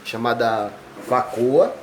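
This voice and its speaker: A man's voice: mid-range voice, steady tone, slow pace, a little hiss or hum. A man from Bahia, an artist talking casually and at length in an interview, wandering through his thoughts with many tag questions. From Bahia, Brazil. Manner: discursive, meandering, frequent tag questions.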